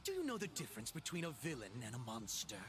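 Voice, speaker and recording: A man's voice: mid-range voice, wildly swooping pitch, fast talker. A man voicing a cocky, power-hungry young villain in a video game, smug, dramatic and teasing. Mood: mocking. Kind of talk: video game character voice lines. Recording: game music behind the voice.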